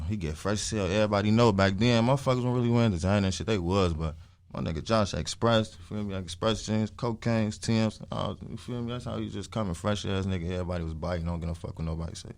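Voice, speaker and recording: Young man, early twenties. Very low voice, dry close sound, slangy and emphatic interview storytelling. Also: fast, vocal fry, no room sound. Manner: emphatic, repeats phrases, frequent swearing. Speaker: interview guest, music artist.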